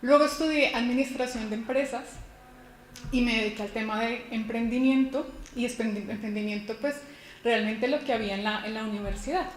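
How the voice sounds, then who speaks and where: A woman's voice: on the high side, a little fast. A woman from Colombia in her thirties giving a conference keynote, explaining in a personal way with rhetorical questions and a few restarts. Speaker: university lecturer giving a keynote. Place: conference hall. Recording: echoey hall with faint noise.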